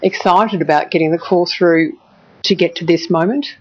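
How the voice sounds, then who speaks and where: A woman's voice: on the low side, moderate pace, faint music behind. A woman with an Australian accent conducting a broadcast news interview in an earnest, probing, emotive way. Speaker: television journalist interviewing. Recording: dull, band-limited broadcast audio.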